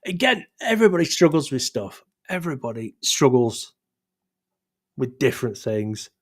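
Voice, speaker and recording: Male stream host, sore throat, rambling and self-deprecating, mid pitch. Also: big pitch swings, moderate pace, a bit bright. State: sore throat, losing his voice. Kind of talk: casual chat reading live chat.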